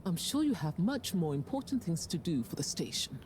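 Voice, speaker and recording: An actress playing a condescending female company official who talks in a smooth, dismissive way. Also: lowish voice, big pitch swings, brisk, darkish tone, slight background noise.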